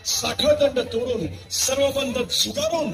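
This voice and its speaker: A man giving scripted, dramatic narration as a festival show announcer, in declamatory, measured phrases. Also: very high voice. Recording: clear music behind the voice.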